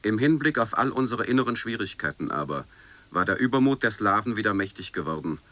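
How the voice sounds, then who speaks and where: Male radio narrator, crisp bright tone, formal scripted delivery, measured chronicle style. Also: on the low side, big pitch swings. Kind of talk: scripted radio recitation of chronicle and news reports.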